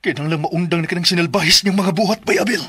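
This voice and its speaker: A man playing an earnest, defiant son in a declamatory Philippine radio drama, with a husky voice. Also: sits high, very fast, crowd noise, natural voice. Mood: defiant resolve. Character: earnest, defiant young son returned to his hometown.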